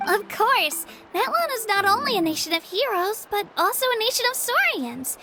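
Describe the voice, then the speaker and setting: A woman's voice: extremely high pitch, big pitch swings, normal speed, loud music behind the voice, full character voice. A woman voicing an eager, friendly young girl adventurer in a video game, earnest, enthusiastic and chatty. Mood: earnest, explaining.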